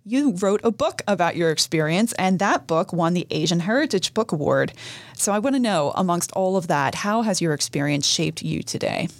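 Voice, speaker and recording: A woman's voice: on the low side, lively intonation, moderate pace, dry recording. A woman hosting a podcast, interviewing a guest in a friendly, upbeat way. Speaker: podcast host.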